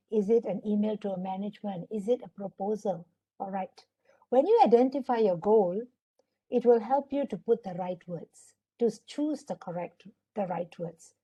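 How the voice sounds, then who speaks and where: A woman's voice: mid-range voice, breathy edge, dull audio. A woman with a Malaysian English accent, giving an instructive webinar lecture as a trainer and often checking in with listeners. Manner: instructive, often asks for agreement.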